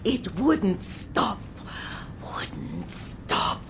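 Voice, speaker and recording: Haunted, fading woman: extremely slow, lowish, breathy game drama over noisy ambience. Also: wildly swooping pitch, full character voice. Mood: horrified, faint. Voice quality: breathy, creaky. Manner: slow, halting, repetitive. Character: frightened, dying woman haunted by a dreadful vision. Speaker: video game character. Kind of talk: dramatic game cutscene acting.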